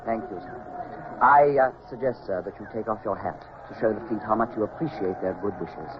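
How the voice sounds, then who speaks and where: An actor playing an elegant, effeminate government wit with courtly, officious polish in an old-time radio drama. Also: male voice, on the low side, brisk, close to an everyday voice. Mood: brisk, officious. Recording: muffled old radio recording, crowd noise behind.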